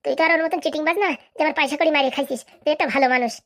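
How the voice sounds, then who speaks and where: A woman's voice: high-pitched, rapid-fire, a bit bright. A woman voicing a bossy, scheming village housewife in a comic cartoon, with brash, scolding, rustic speech.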